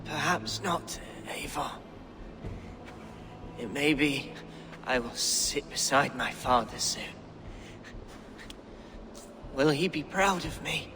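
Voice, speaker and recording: An actor voicing a gentle, dying young warrior in a video game, faint, breathy, weak and halting. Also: male voice, fairly high pitch, noisy background. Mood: weak, wistful. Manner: halting, hushed. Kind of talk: dramatic video game acting. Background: music.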